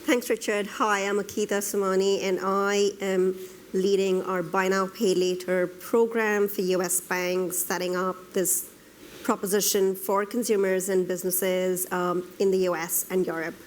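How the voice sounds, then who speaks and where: A woman's voice: medium pitch, flat delivery, measured pace, a little hiss or hum. A woman panelist introducing herself concisely and matter-of-factly. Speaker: banking program lead, panelist.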